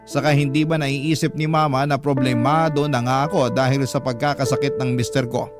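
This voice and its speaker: A man calmly reading a listener's letter aloud in first person as a radio storyteller. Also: medium pitch, a little fast. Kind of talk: scripted radio narration. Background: background music.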